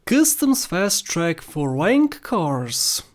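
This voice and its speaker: A countdown host with a mid-pitched voice, narrating video game scenes. The delivery is scripted commentary, addressed straight to the listener. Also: big pitch swings, extremely slow.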